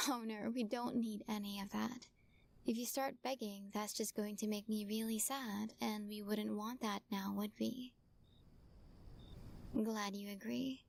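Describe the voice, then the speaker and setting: A woman voicing a sweetly possessive witch in an audio roleplay, talking softly and teasingly and often dropping into whispers. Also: fairly high pitch, gravelly. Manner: soft, teasing, coaxing, slips into whispers.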